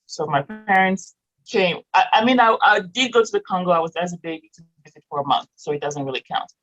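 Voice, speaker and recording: A woman's voice: on the low side, a little fast, fairly bright tone, lo-fi sound. A woman in her thirties, raised in Morocco with French as her first language, chatting as a guest, laughing often and restarting sentences. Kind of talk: casual interview chat.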